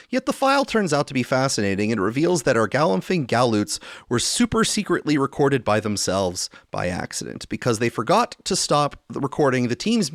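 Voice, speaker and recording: A man's voice: medium pitch, dry recording, studio quality. A man hosting a podcast, chatting and reading news stories aloud. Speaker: podcast host.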